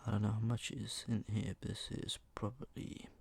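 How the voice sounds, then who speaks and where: Hushed, gravelly young man doing ASMR. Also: fairly low pitch, flat delivery. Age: young adult. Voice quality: breathy half-whisper. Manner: hushed, unhurried, rambling. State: mildly sick, recovering from flu, sore voice. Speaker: ASMR video host. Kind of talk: ASMR, soft-spoken product show-and-tell. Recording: noticeable background noise with clicks.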